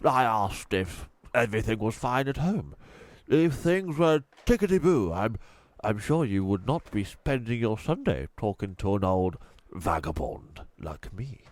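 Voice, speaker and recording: A man's voice: fairly low pitch, sing-song, measured pace, dry recording. A man voicing a courteous, quaintly well-spoken old male tramp in a storybook, with hesitant little repeats. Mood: gently probing. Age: older.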